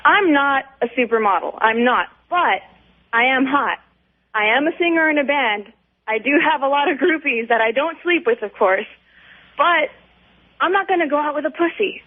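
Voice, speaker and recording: A woman calling a radio show over the telephone, chatting candidly in an emphatic, rambling way with strong opinions. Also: high voice. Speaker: radio call-in listener. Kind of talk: casual, candid chat. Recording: tinny, narrow phone line.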